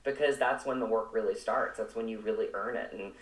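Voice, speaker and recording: A man's voice: lowish voice, a little fast. A man speaking as an interview guest in an earnest, reflective, conversational way, recorded with room echo at some distance. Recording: echoey room, distant from mic.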